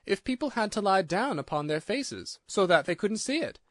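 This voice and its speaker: A curious, well-mannered young girl in a storybook, voiced by a male reader. The voice is lifted high and swings with lively emphasis, polite but plucky and firm. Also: normal speed, no room sound, studio quality. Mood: polite, questioning. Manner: polite yet bold and decided.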